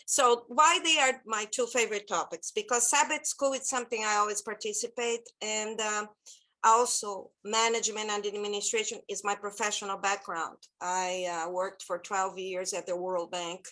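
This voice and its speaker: A woman's voice: mid-range voice, steady tone, normal speed, bright, forceful tone, poor audio. A woman with a Brazilian accent leading an online church training over a laptop video call, chatty and loose, with many fillers. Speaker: children's ministry trainer.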